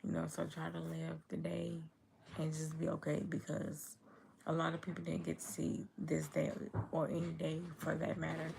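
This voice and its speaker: Low, gravelly woman from Chicago. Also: completely flat delivery, moderate pace, darkish tone, slight background noise. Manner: easygoing, chatty, reads chat comments aloud and answers them. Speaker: livestream host. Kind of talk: casual livestream chat with viewers.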